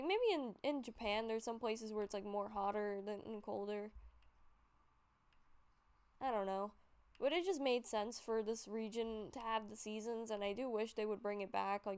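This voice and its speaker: Smooth-voiced woman with a stuffy nose. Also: medium pitch, moderate pace, darkish tone. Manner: rambling, frequent fillers and self-corrections. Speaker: YouTuber showing a game collection. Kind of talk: casual commentary over gameplay.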